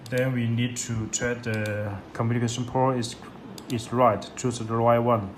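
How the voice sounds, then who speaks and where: A man demonstrating a product in a step-by-step tutorial, speaking halting, repetitive non-native English. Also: mid-range voice, level tone. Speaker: product demonstrator for a radio seller. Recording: echoey room, loud background noise.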